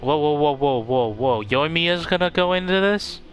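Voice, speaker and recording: A man's voice: fairly high pitch, animated, moderate pace, faint music behind. A man doing casual game-streaming commentary, playfully talking back to the characters.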